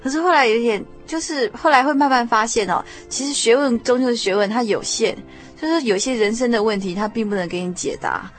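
Slow-paced young Taiwanese woman host. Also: fairly high pitch, faint music behind. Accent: Taiwanese Mandarin. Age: young adult. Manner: frequent affirming backchannels. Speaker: radio program host. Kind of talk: conversational interview.

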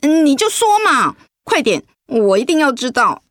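Pouty Taiwanese wife, swooping voice. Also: female voice, sits high, very animated, fairly bright tone, dry recording, studio quality. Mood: insistent, coaxing. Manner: whiny, emotive, with drawn-out sentence particles. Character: sick wife and mother, pouty, insistent, easily hurt. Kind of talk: radio drama acting.

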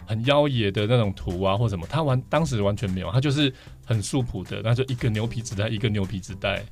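A man's voice: lowish voice, quick pace, soft background music. A man with a Taiwanese Mandarin accent, talking and explaining at length as a guest in a casual podcast interview. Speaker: podcast guest, vinyl record collector.

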